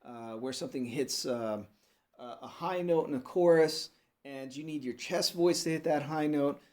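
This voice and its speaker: Man explaining music with casual pauses. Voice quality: fairly low voice. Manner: relaxed and explanatory, with frequent "uh" and "okay?" check-ins. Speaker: music teacher. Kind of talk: unscripted video lesson.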